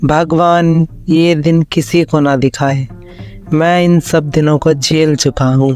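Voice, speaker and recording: A man's voice: on the high side, fairly even intonation, slow pace, a little mellow. A man voicing a humble, polite village neighbour in a moral story cartoon, with deferential phrasing. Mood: consoling. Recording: music under the voice.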